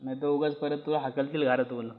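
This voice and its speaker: A man's voice: medium pitch, flat delivery, a little fast. Muffled young Maharashtrian man, coaxing.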